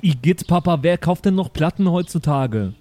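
A man's voice: fairly high pitch, lively intonation, normal speed, dark tone, no room sound, lightly acted. A man playing a naive, easily confused boy who rambles and pleads with his dad in an improvised audio play. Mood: eager.

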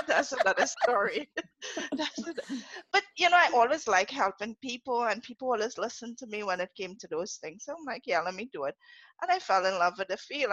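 A woman's voice: mid-range voice, a bit bright, dry recording, highs cut off. A middle-aged woman from Trinidad with a Trinidadian accent, a podcast guest chatting casually and laughing through her story.

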